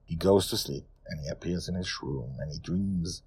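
A man voicing a reflective, wistful old grandfather who tells his dream adventure story in a musing, unhurried way. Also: deep voice, a little mellow, slightly rough, no echo, voice pushed down. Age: older. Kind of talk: first-person audio story narration.

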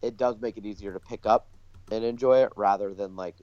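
Echoey, muffled recording of a low-voiced man. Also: steady tone, brisk, darkish tone. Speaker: podcast co-host. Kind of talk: casual chat. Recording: dull, lo-fi, roomy echo.

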